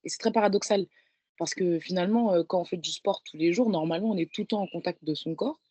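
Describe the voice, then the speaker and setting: A woman in her twenties with a fairly low voice, talking about herself in a casual, reflective way. She is a guest in a conversation, recorded dry and close to echo-free. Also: quick pace, warm tone, breathy edge. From Paris, France. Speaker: guest, professional handball player.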